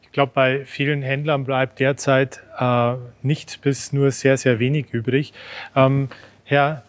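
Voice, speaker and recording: A man in his thirties moderating an expert interview, polite and slightly halting as he frames his questions. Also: mid-range voice, flat delivery. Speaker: host, moderator. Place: large dark indoor studio showroom.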